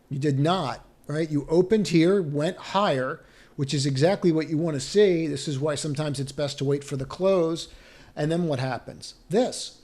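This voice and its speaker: A man's voice: a little high, a little mellow. Cautious man talking through stock charts. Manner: cautious, thinks aloud with frequent restarts and rhetorical "right?". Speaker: stock market analyst. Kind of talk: screen-share chart walkthrough.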